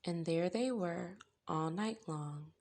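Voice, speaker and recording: Slow-paced woman reading a children's storybook. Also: fairly low pitch, gravelly, a little mellow. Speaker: children's read-aloud host. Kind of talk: expressive storybook narration for young children.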